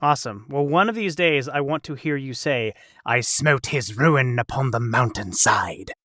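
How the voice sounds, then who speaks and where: A man's voice: medium pitch, lively intonation, normal speed, sharp tone, no echo. A man hosting a casual chat in a playful, joking, nerdy manner, recorded on a close mic. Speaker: host. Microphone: close studio mic on a video call.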